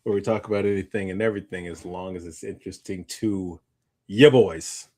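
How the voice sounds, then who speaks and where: A man with a fairly low voice chats as co-host of a live podcast. His delivery is informal and conversational, and he tends to ramble, backing up to correct his wording as he explains things. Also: fairly quick, warm tone. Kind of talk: casual live podcast chat.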